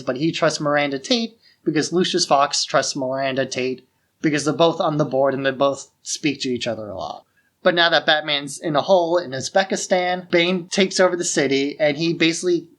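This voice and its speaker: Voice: mid-range voice, a bit bright. A podcast host with a mid-range voice talks casually, walking the listener through a story. The delivery is conversational and explanatory, like recounting it to a friend. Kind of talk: casual film plot recap.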